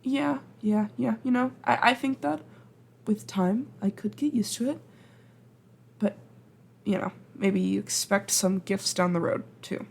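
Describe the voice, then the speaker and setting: Husky, stammering, flustered woman time traveler acted by a woman. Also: medium pitch, unhurried. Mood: earnest, bashful. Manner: hesitant, stammering, rambling and self-deprecating. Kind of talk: ASMR roleplay acting, intimate and conversational.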